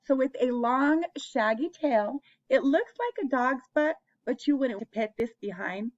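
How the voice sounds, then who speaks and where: A woman's voice: sits high, measured pace, dull audio. A woman hosting an interactive picture-book read-aloud for young children, asking them questions and encouraging them as she goes.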